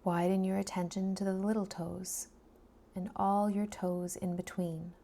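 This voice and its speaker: A woman leads a guided body scan at a very slow, unhurried pace. Her voice is mellow and fairly low, with creaky edges. She speaks softly and breathily, often sinking toward a whisper. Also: level tone. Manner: soft, soothing, unhurried delivery with long pauses. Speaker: meditation guide.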